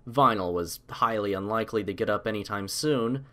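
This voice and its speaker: A man reading a story aloud as scripted audiobook narration, in a measured, literary storytelling manner. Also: lowish voice, bright, forceful tone.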